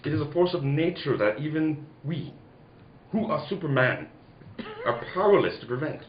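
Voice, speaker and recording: A man's voice: a little high, sing-song, normal speed. A man playing a grave, pompous alien scientist warning of doom in the declamatory style of a 1940s radio serial, sometimes stumbling over the script. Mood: earnest. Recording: roomy, muffled, some background noise.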